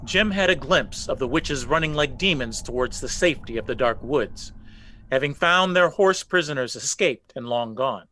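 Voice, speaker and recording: A man's voice: mid-range voice, animated, normal speed, sharp tone, a little hiss or hum, poor audio. A man narrating a folk legend as the storyteller in a scripted radio play. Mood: storytelling.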